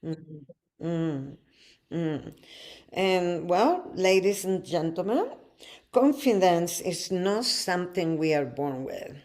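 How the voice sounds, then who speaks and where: Podcast co-host, woman, low-pitched, unhurried, reflective. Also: expressive, darkish tone. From Chile. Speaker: podcast co-host, life coach. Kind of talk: casual podcast chat.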